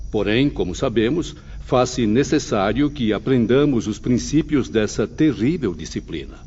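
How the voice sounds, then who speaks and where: A man playing an awed, earnest spirit student in an audiobook drama, speaking formally and with measured care. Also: medium pitch, lively intonation, darkish tone, a little hiss or hum, close to an everyday voice. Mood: earnest, resigned. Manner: formal, measured, literary speech. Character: awed, earnest male spirit student, resigned to harsh discipline. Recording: dull lo-fi, faint background ambience.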